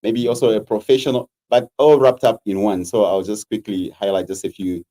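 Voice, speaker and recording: A man with a Zambian accent answers interview questions as a political candidate. His voice sits low and sounds dark and mellow. He speaks in a measured, earnest way, pausing often on fillers. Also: steady tone.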